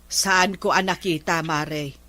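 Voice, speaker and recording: A woman's voice: fairly low pitch, fairly even intonation, slow, bright, forceful tone, no echo. A woman acting a gossipy village woman in a comedy radio drama, with a Filipino accent. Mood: gossiping.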